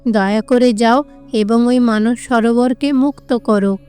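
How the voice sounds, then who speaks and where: Woman as cartoon mother goddess, high, smooth, gentle, coaxing, encouraging. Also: fairly even intonation, measured pace, faint music behind, lightly acted. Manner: soothing, encouraging.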